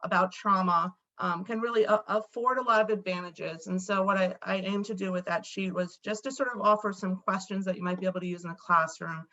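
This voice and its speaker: A woman's voice: medium pitch, fairly even intonation, fairly quick, few pauses, sharp tone. A woman panelist speaking thoughtfully on an academic video-call panel, hesitating with "um" fillers. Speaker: panelist, educator. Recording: dull, lo-fi video call audio.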